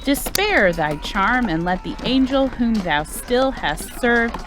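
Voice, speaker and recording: A woman's voice: fairly high pitch, sing-song, slow pace, over music. A woman playing a fierce, vengeful warrior thane in an amateur Shakespearean dramatic reading. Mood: defiant, taunting. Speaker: amateur reader in library staff dramatic reading. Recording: noticeable background noise, clicks.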